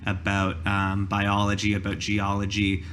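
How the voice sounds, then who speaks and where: Man, game master, low voice, tabletop narration, noisy background. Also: completely flat delivery, a little slow, fairly bright tone.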